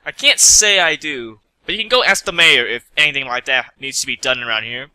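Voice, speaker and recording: Man voicing a prim, bright-voiced haughty lady pony seamstress. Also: mid-range voice, fairly quick, clean tone, no room sound, rough recording. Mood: prim, brisk.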